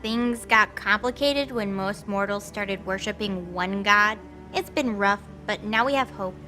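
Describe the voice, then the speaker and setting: A woman's voice: mid-range voice, a little slow, pushed and bright, faint music behind, rough recording. A woman playing a brash, teasing, cocky goddess in an audio drama, flippant and slangy. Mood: smug. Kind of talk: acted audio drama dialogue.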